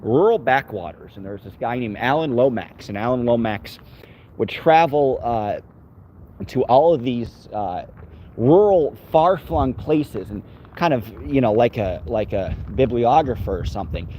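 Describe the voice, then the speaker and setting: A man's voice: mid-range voice, animated, measured pace, mellow, a little hiss or hum. Rambling man streaming from city streets. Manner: rambling, talks to his dog and chat. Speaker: vlogger walking his dog. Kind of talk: casual live-stream chat.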